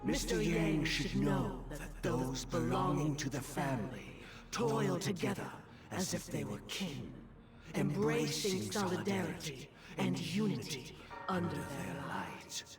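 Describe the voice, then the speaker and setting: An actor playing a pious, menacing schemer in a video game, with a solemn, formal, theatrical delivery. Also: male voice, a little high, sing-song, slow. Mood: reverent. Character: pious, menacing schemer sworn to a grand cause. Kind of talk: dramatic video game voice acting. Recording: loud music over the voice.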